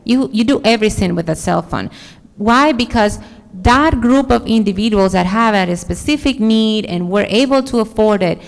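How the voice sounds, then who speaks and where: Dominican woman, gravelly, echoey hall. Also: mid-range voice, moderate pace. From Dominican Republic. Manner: earnest, searching for words. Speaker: conference speaker. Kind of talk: audience Q&A answer. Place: large conference hall.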